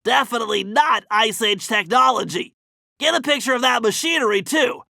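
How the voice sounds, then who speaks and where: A brainy young male cartoon turtle for a video game. The voice is very high and pinched, with a bright, tense edge and a creaky grain. He explains plans in a fussy, exact, earnest way, leaning hard on key words. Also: moderate pace, no room sound, clean studio sound, full character voice. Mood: alert, instructing. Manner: nerdy, precise, earnest, emphatic. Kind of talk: animated video game mission dialogue.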